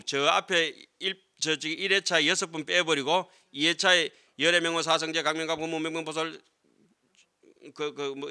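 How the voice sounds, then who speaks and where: Bright-voiced monk lecturing a class. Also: male voice, fairly high pitch. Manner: animated, asks the class questions and answers them himself. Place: lecture hall with seated students. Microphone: desk microphone on stand.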